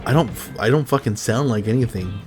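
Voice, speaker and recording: A man's voice: mid-range voice, fast talker, faint music behind. A man hosting a casual podcast chat, rambling loosely, swearing, and laughing often.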